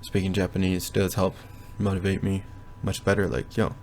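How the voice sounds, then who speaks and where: A young man giving a casual, rambling progress update from his bedroom, often pausing to think aloud. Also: low-pitched, completely flat delivery, a little mellow, slight background noise. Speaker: language learner vlogger. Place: bedroom at home.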